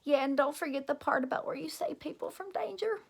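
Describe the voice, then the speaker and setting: A woman voicing an excitable, bratty kindergarten girl in a storybook, with childish grammar and emphatic outbursts. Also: sits high, fairly quick, gravelly, voice pushed up. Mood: defiant, boastful.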